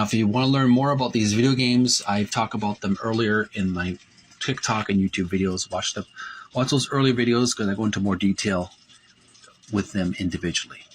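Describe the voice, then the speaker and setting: A man hosting a video channel, narrating a show-and-tell of a book, reading copy aloud and pausing between short phrases. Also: lowish voice, a little hiss or hum, low-quality recording.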